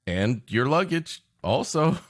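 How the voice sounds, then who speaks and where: A man co-hosting a podcast with improvised comic banter in a halting, mock-earnest, repetitive way. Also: mid-range voice, big pitch swings. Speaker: podcast co-host.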